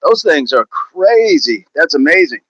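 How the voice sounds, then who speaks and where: A man's voice: on the high side, big pitch swings, unhurried, a bit bright, no room sound, muffled recording. A man hosting a fitness livestream, chatting casually and answering viewer comments in an upbeat, laughing, repetitive way. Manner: chatty, upbeat, laughs often, repeats phrases.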